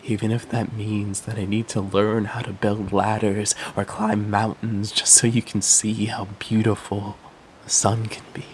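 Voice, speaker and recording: A man performing a poetic monologue as an earnest, troubled would-be father, speaking in a measured, reflective way. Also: fairly low pitch, little pitch movement, a little mellow, slight background noise, natural voice, rough recording. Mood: solemn, resolute. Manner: measured, reflective, spoken-word delivery.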